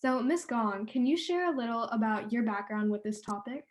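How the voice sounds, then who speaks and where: A woman's voice: a little high, lively intonation, normal speed. A young woman working as an intern, politely reading prepared interview questions.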